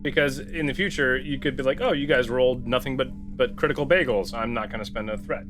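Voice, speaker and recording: A man with a mid-range voice plays a tabletop roleplaying game. His casual chat is questioning and a bit argumentative. Music is clearly audible behind him. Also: mid-range voice, quick pace. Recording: background music under the voice.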